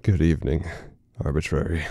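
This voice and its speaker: A man playing a formal but fragile, unhinged broadcaster in an audio horror drama, speaking haltingly and theatrically. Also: extremely low pitch, flat delivery, vocal fry, very noisy. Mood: grave, formal. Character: unhinged male broadcaster, grave, formal, fragile, devoted to his council.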